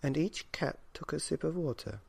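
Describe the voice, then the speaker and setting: A man's voice: a little high, animated, normal speed, creaky, darkish tone, husky. A man narrating a children's bedtime story expressively, with dramatic, rhythmic emphasis.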